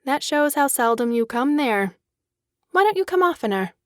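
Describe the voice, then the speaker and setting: An actress playing an elegant young socialite in a dramatized audiobook, refined, expressive and coquettish, discontented yet charming. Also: female voice, high voice, moderate pace, no echo, clean studio sound. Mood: playful.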